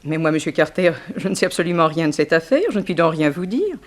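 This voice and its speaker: A woman's voice: deep voice, expressive, quick pace. A woman playing a dignified, wronged upper-class wife in a 1960s French radio drama, speaking in a formal, precise, genteel way. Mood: guarded.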